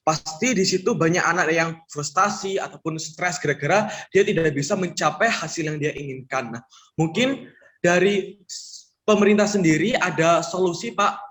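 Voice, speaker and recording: A man's voice: a little high, moderate pace, a bit bright. A young man, a student, asking a question in a webinar, hesitant with restarts.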